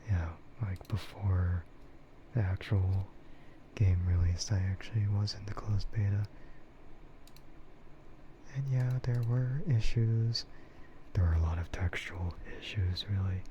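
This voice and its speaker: A low-voiced man whispers his way through a casual ASMR stream, breathy and hesitant. He speaks slowly and rambles, close to the microphone. The sound is a little muffled, with noticeable background noise. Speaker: livestreamer and content creator. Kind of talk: whispered ASMR livestream chat.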